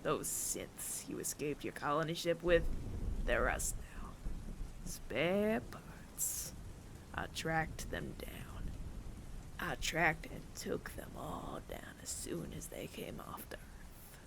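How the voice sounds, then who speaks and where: Man acting a gravelly, slow-talking bounty hunter. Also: fairly high pitch, expressive, in a whisper, full character voice. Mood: cold, gloating. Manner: slow, deliberate, taunting, with long dramatic pauses. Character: male bounty hunter of androids, menacing, world-weary, taunting. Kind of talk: ASMR audio roleplay, addressing the listener.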